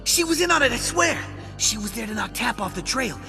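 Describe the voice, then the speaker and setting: High-voiced, nervous crooked accountant. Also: male voice, animated, a little fast. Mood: pleading, defensive. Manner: nervous, hesitant, pleading. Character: cowardly, evasive male crooked accountant under interrogation. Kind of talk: scripted game dialogue. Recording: music behind.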